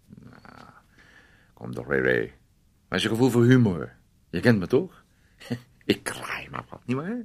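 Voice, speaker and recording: A man's voice: lowish voice, big pitch swings, a little slow, vocal fry, long pauses, husky, heavily acted. An actor playing a domineering man in a radio play, sarcastically needling and teasing his timid housemate, swinging between outbursts and muttering. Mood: defensive, coaxing. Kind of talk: Dutch radio play acting.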